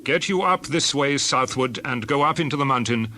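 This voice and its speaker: An actor playing an earnest, authoritative biblical leader, declaiming in a dramatized scripture reading. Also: male voice, mid-range voice, animated, normal speed, pushed and bright. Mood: commanding. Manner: declamatory, commanding. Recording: clear background noise with birds.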